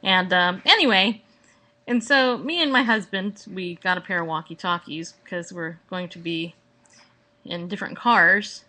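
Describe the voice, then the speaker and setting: Bright-voiced woman, casual playful podcaster. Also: fairly low pitch, unhurried. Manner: playful, rambling, acts out mock exchanges. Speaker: podcast host. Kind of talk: casual solo chat. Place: at home, indoors.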